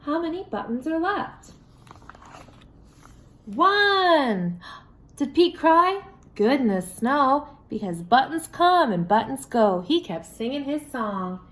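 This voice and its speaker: A woman reading a picture book aloud to young children in an expressive, sing-song way, putting questions to her listeners. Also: high-pitched, slow pace, roomy sound. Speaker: children's story reader. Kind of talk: read-aloud for young children with sung refrains.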